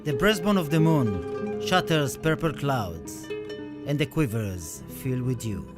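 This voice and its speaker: A man playing a haunted Spanish poet in a radio play, speaking in dramatic, deliberate, poetic phrases on a noisy recording. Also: mid-range voice, animated, loud music behind the voice. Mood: tender, elegiac. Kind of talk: radio play monologue with recited poetry.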